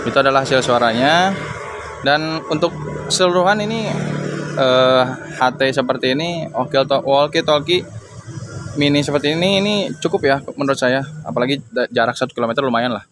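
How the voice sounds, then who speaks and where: A man's voice: medium pitch, a little fast, noisy background. A man giving a casual, explanatory product demonstration as a reviewer.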